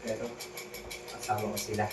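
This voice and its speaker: A troubled youth acted out by a student performer in an amateur stage drama, pleading with elders and brooding. The sound is echoey, with clear background noise. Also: medium pitch, level tone, fairly quick, far from the mic, close to an everyday voice. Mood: brooding, weary. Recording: echoey noisy hall stage recording.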